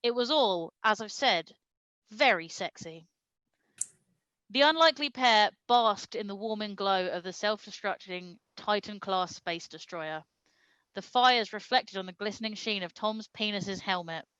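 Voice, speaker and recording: A woman's voice: mid-range voice, measured pace, a bit bright, clean tone, no echo, lo-fi sound. A woman reading a comic story aloud with dramatic storytelling delivery and amused asides. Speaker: reader of comic fan fiction at a live stream show.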